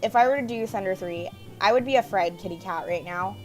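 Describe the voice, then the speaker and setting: A woman with a raspy, sore throat from allergies, chatting casually in a home voice-over about a video game. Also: mid-range voice, a little fast, poor audio. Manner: chatty, easygoing, a bit quiet. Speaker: gaming YouTuber. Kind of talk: casual gameplay voice-over commentary. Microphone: home voice-over mic. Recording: voice-over with game music behind.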